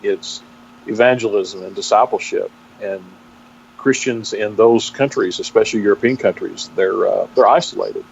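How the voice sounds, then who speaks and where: Halting Texan man on dull audio. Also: lowish voice, vocal fry. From Texas, United States. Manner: halting, searching for words with repeated false starts. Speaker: interview guest, pastor and seminary teacher. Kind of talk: reflective interview talk. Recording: dull, band-limited audio with faint noise.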